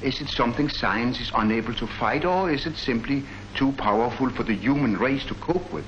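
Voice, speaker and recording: An actor playing an earnest army colonel who presents public health facts in a declamatory, rhetorical way, in the style of a 1940s education film. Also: male voice, medium pitch, brisk, fairly bright tone, close to an everyday voice. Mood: impassioned. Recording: muffled, noisy 1940s film soundtrack.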